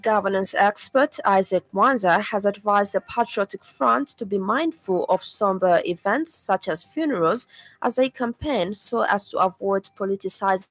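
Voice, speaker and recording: A woman with a Zambian accent reads a scripted radio news bulletin in a formal, measured manner. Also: mid-range voice, no room sound, faint music behind. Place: radio studio.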